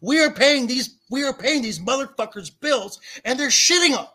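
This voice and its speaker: A man in a heated podcast debate, speaking emphatically and indignantly and often stammering over repeated words, with a rough edge to his voice. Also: very high voice, animated, moderate pace, a crowd in the background. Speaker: podcast guest. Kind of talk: heated debate about comic adaptations.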